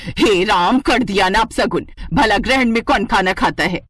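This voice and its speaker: A woman's voice: medium pitch, normal speed, a bit bright, husky. Lady doing a bossy old-school saas in a cartoon story, always scolding and scandalized. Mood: angry. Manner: nagging, indignant, exclaiming. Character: scolding, old-fashioned older mother-in-law, easily scandalized. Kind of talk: Hindi moral story cartoon dialogue.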